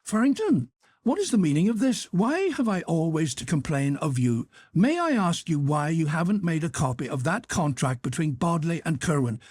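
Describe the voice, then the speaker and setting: Man acting a shrill, furious little Northern Irish boss. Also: big pitch swings, moderate pace, hardly any pauses, darkish tone, slightly rough, dry recording, clean studio sound. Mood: furious, demanding. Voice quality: shrill, piercing. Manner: scolding, hectoring. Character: small bald furious male office boss, shrill and bullying.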